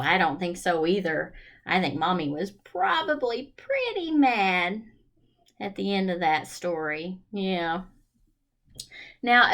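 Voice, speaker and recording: A woman's voice: mid-range voice, fairly bright tone. A woman leading a children's storytime, reading and chatting in a singsong way and putting questions to her young listeners. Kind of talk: children's storytime read-aloud and chat.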